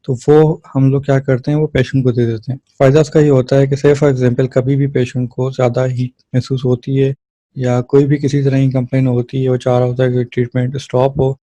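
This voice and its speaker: Low-pitched male lecturer, flat and mellow. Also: fairly quick, no echo. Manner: explanatory, checks in with rhetorical questions and tags. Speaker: physiotherapy lecturer. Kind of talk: informal explanatory lecture, mixing in English terms.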